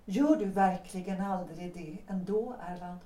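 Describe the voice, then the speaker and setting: A woman's voice: fairly low pitch, mellow, lightly acted. A woman playing an earnest married woman, pleading. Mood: intense. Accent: Swedish. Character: unhappily married woman pleading with young lover. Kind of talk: Swedish audio drama acting. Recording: roomy, distant from the mic.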